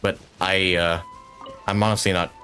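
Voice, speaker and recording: A man's voice: low-pitched, little pitch movement, moderate pace, sharp tone. A man giving casual, informal live commentary on a game he is playing, reacting as he goes. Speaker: game streamer. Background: game music.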